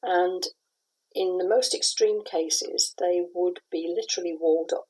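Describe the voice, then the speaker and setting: Husky, measured woman playwright. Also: fairly low pitch, steady tone, darkish tone, low-quality recording. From west of Scotland. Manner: thoughtful, measured, with pauses and restarts. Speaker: playwright being interviewed. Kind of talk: reflective interview answers.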